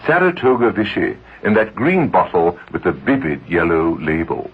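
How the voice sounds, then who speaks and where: A man's voice: deep voice, expressive, normal speed, husky. A man reading a scripted radio commercial with wry, genteel delivery. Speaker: commercial announcer. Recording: tinny old radio broadcast.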